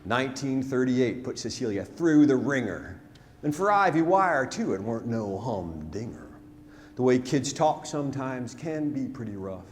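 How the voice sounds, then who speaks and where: A man's voice: mid-range voice, expressive, moderate pace. A man performing a rhymed narrative ballad as a storyteller, with dramatic, folksy, emphatic delivery in a theatre. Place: on stage in a theatre. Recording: hall reverb, noisy live theatre recording.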